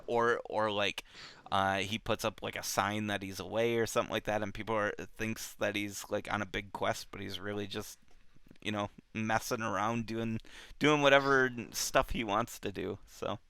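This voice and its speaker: A man casually discussing a book as a show host, thinking aloud in halting, repetitive phrases. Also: lowish voice, fairly even intonation, creaky, bright, forceful tone, clear, resonant tone, no echo.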